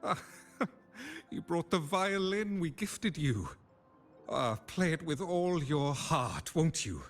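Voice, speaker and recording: Slow, jovial, lilting game villager. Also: male voice, a little high, animated, soft background music. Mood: hearty, fond. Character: jovial, encouraging male villager, proud well-wisher. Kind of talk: video game voice acting.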